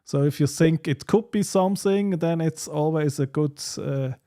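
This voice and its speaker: A man hosting a casual livestream chat, speaking in halting, hesitant phrases. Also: fairly high pitch, mellow. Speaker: livestream host.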